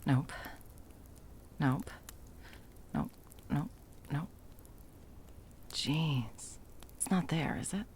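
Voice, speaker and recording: A woman's voice: low-pitched, lively intonation, drawn-out words, pauses often, a little mellow, a little hiss or hum, heavily acted. A woman playing a frazzled, sarcastic, foul-mouthed Christmas delivery elf in a whimsical comedic ASMR roleplay, muttering and often dropping to whispers. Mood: flustered muttering.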